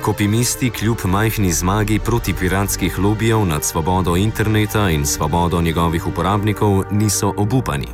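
A man reading a scripted news voice-over in an even delivery. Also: low voice, a little fast, few pauses, over music. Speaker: news narrator.

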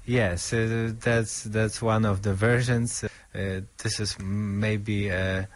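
A man's voice: lowish voice, little pitch movement, slow, slight background noise. A man, answering as an interviewed author in hesitant, thoughtful English that often searches for words. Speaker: author interviewed about his book.

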